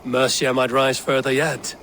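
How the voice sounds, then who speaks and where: A stern Viking raid leader. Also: male voice, medium pitch, flat delivery, a bit bright, slight background noise. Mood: resolute. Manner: grave, measured, commanding. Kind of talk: video game cutscene acting.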